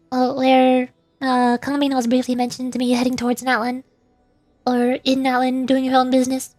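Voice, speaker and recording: A woman's voice: high-pitched, a little mellow, dry recording. A woman VTuber streaming a game, giving casual commentary with rambling, playful asides.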